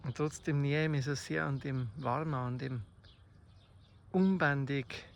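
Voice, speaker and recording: Slow, creaky Bavarian man improvising poetry. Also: medium pitch. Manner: halting, short phrases with long pauses. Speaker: amateur poet. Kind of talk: improvised spoken poetry, slow reflective musing. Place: outdoors in the countryside. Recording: faint outdoor nature noise. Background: birdsong and rural ambience.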